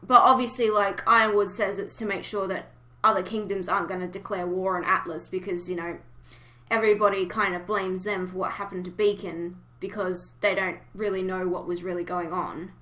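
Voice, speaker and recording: A woman hosting a casual fan discussion, talking emotively with hesitant pauses as she thinks aloud. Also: medium pitch, steady tone, sharp tone. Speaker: podcast host.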